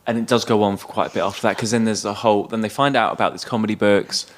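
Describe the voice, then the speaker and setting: A man's voice: fairly low pitch, fairly even intonation, very fast. A man co-hosting a podcast in casual film chat, understated and tentative, often trailing off. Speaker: podcast co-host.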